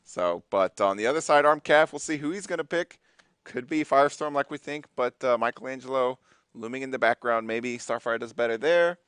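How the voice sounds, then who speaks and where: A man from Texas with a Texan accent, co-commentating a fighting-game tournament in relaxed, chatty banter. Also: medium pitch, fairly quick, clean tone, no room sound, clean studio sound. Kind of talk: live esports commentary.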